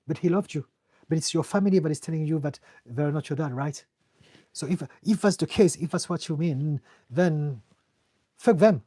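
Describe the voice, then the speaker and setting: Man, French accent, earnest and blunt, husky mellow tone, dry close recording. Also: a little high, dry recording. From France. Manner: earnest, direct, repeats words when searching for them. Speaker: therapist answering live chat questions. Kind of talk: live-stream Q&A, casual advice.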